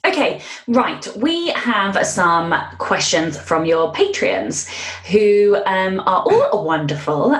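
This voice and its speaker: A woman interviewing a guest conversationally for a podcast, recorded in an echoey room. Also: mid-range voice. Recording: echoey, roomy sound.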